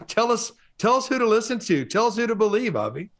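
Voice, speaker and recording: A middle-aged man hosting an interview from a home studio, talking quickly and animatedly, often stumbling over his words. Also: sits high, big pitch swings, fairly bright tone. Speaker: host. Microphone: studio mic on a boom.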